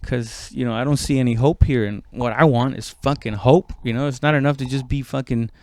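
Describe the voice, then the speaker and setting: A man talking in a casual, rambling podcast monologue, halting and conversational, with frequent swearing. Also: mid-range voice, steady tone, a little mellow. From immigrant, moved abroad at seven. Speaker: stand-up comedian podcast host.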